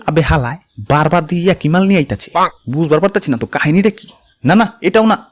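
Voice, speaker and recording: Man as a rapid-fire Old Dhaka grumbler. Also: on the high side, animated. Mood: indignant. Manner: brash, rapid-fire complaining. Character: greedy, grumbling, scheming urban husband. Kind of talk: comic cartoon fable voice acting.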